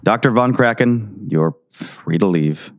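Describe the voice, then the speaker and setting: A man playing a curt, stubborn sheriff, swinging pitch. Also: expressive, slow pace. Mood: grudging, menacing. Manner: curt, sarcastic, defiant. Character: stubborn, suspicious small-town 1960s male sheriff. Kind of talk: audio drama acting.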